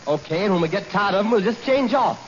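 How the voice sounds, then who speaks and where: A man's voice: sits high, lively intonation, fast talker. A man voicing a timid, naive young sidekick who whines, in a 1940s radio comedy. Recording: dull old radio, loud hiss.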